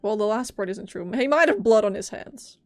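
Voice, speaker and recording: A woman's voice: medium pitch, expressive, a little fast, no echo. A woman streaming a video game, giving casual reactions and teasing asides to the characters. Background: game music.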